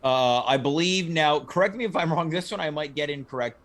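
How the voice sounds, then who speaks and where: A man's voice: a little high, a little fast, a bit bright. A man hosting a casual comedy talk show, bantering with dry, teasing, mock-formal remarks.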